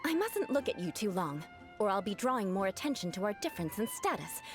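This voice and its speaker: A woman's voice: medium pitch, very animated, brisk, music in the background, lightly acted. A woman playing a proud young aristocratic knight, speaking in a formal, stately and dryly self-mocking way in video game dialogue. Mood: haughty.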